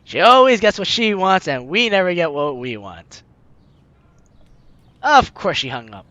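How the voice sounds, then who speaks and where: Animated, bright-voiced man reading a game aloud. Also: high-pitched, a little slow, no echo, muffled recording. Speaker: host of a game playthrough. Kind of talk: let's play, reading visual novel lines aloud expressively.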